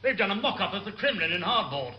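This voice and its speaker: An actor playing a pompous, dithering British ambassador in a blustering radio sitcom manner. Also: male voice, high-pitched, sing-song, fast talker, dull audio, heavy background noise. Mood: jovial bluster. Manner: blustering, hesitant with names. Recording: echoey old radio recording, audience behind.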